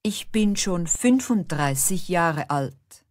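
A woman reading simple lesson sentences slowly and distinctly, repeating each one. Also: on the low side, animated. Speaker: language lesson narrator. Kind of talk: slow, clearly articulated example sentences, each said twice.

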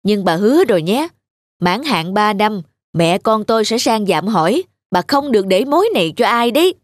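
Bossy rich village matron. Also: female voice, mid-range voice, big pitch swings, normal speed, no echo, clean studio sound. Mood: demanding. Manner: bossy, boastful. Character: haughty, pushy rich middle-aged matchmaking mother. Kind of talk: animated folk tale dialogue.

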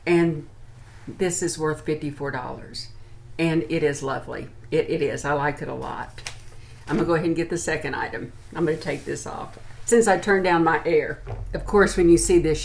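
An American woman in her sixties chatting informally to camera as she reviews products. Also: deep voice. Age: 60s. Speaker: beauty and lifestyle vlogger. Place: small furnished room at home, indoors.

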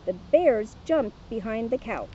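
A woman's voice: a little high, lively intonation, measured pace, dark tone. A woman in her fifties reading a storybook aloud and chatting casually, recorded outdoors by a camera. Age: 50s. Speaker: police officer reading to children. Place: outdoors by a lake. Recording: slightly noisy, dull outdoor camera sound.

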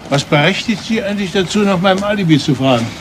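A man's voice: high voice, fast talker, a little hiss or hum, lightly acted. An actor playing a bitter, defiant older father in a German crime radio play, speaking curtly and repeating himself for emphasis. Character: bitter, defiant older family patriarch under police questioning.

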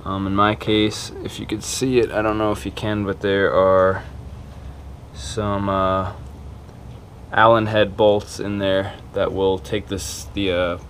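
A man's voice: on the low side, level tone, slow pace, a bit bright, slight background noise. A man talking casually and a little hesitantly through a hands-on repair tutorial, unscripted and informal. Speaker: video maker giving a repair tutorial. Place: small cluttered carpeted room indoors.

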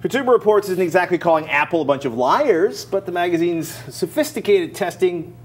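A man's voice: high-pitched, lively intonation, brisk, noisy background. An American man in his forties co-hosting a tech show with casual, colloquial chat and wry asides. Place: indoor TV studio set. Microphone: lapel mic.

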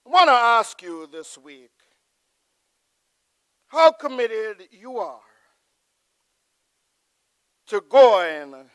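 Pastor guy at a church pulpit, really high bright voice, drags every word out slow, booms then goes quiet. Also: sing-song, smooth, no echo. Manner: preaching with loud surges, then hushed prayerful close. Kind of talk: sermon. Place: large church sanctuary with choir behind. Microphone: pulpit mic.

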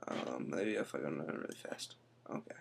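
A young man giving a casual, chatty tech tutorial, full of quick asides, recorded indoors on a laptop webcam mic. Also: extremely low pitch, expressive, vocal fry, husky. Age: young adult. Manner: chatty, quick asides, says "I'm telling you". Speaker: tech vlogger. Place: indoor room.

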